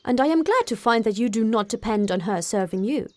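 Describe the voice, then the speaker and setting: Audiobook father role, woman performing: middle-aged, dry wit, teasing, measured, mock-grave, never flustered. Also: fairly high pitch, lively intonation, a little mellow, natural voice. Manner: wry, measured, mock-solemn. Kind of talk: audiobook character acting.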